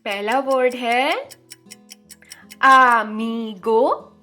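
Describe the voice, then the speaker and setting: A woman from India with a high voice gives an online Spanish lesson. She speaks very slowly and stretches each word as she drills it. Soft music plays faintly behind her. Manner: slow, drawn-out pronunciation drills, repeating words. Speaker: Spanish teacher.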